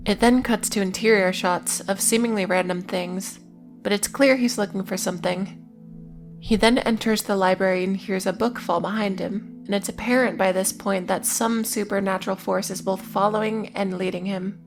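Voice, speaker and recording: A woman delivers scripted video essay narration with little rise and fall in her medium-pitched voice. She keeps it informal, slipping in wry asides as she recaps, and music plays underneath. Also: steady tone, normal speed.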